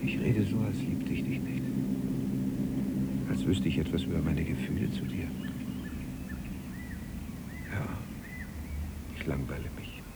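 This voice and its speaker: Fretful middle-aged archivist husband, noisy recording. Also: male voice, a bass voice, animated, measured pace, vocal fry, long pauses, full character voice. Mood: bitter. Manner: argumentative, pedantic, declamatory. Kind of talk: German radio drama acting. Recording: noisy cassette copy of radio drama.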